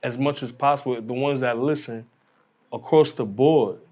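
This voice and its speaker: A man's voice: mid-range voice, steady tone, moderate pace, darkish tone. A man with a mid-range voice talks as a DJ guest in a casual podcast conversation. His speech is slangy and informal, like chatting with friends.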